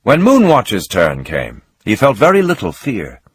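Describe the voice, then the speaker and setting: Husky, expressive male narrator. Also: fairly low pitch, normal speed, no room sound. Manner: expressive, dramatic reading with swelling emphasis. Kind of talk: scripted narration of a novel.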